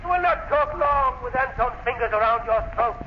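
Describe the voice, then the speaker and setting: A man's voice: very high voice, normal speed. An actor playing a vengeful, theatrical mad professor who taunts his enemy, in a 1930s radio thriller style. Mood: gloating, menacing. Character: vengeful, gloating mad professor, crippled villain. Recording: noisy muffled 1930s radio recording.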